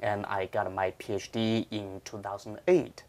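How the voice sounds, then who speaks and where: A man in his thirties from China with a Chinese accent, explaining informally in measured, halting phrases as an interviewed professor. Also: on the low side, flat delivery, darkish tone.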